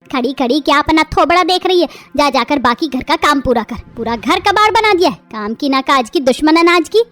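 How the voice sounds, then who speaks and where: A woman voicing a harsh middle-aged mother-in-law in an animated family drama, hurling shrill insults. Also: brisk, soft background music. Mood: furious. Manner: shrill, insulting, shouting tirades.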